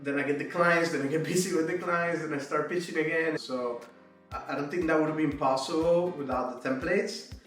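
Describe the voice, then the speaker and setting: A man's voice: fairly high pitch, steady tone, fairly quick, fairly bright tone. A man from Miami giving a casual, reflective testimonial about a freelance writing course, often adding "right?" and "you know". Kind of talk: testimonial interview. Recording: echoey room, distant mic, faint music.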